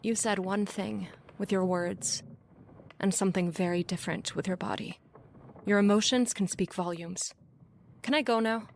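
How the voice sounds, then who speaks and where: A woman's voice: medium pitch, steady tone, moderate pace, vocal fry, natural voice. Woman playing a blunt, guarded ex-lover, faint noise. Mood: guarded, reproachful. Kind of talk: conversational stage-play acting.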